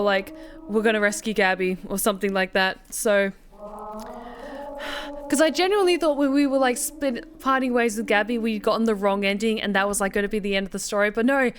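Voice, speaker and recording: A woman's voice: a little high, background noise. A woman hosting a casual game livestream, chatting informally in a rambling way. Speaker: streamer and host.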